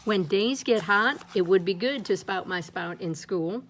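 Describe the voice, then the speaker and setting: A woman reading a rhyming children's picture book aloud in a playful, lilting way, her pitch swinging up and down. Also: a little slow, fairly bright tone, slight background noise. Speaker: storybook reader.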